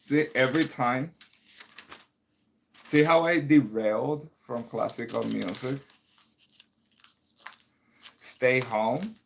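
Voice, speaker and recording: A man's voice: medium pitch, takes it slowly, creaky, long pauses, slight background noise. A man whose first language is Spanish, hosting a casual livestream as a composer and chatting with viewers about their comments. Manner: chatty, reads out and answers chat comments. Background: loud music playing.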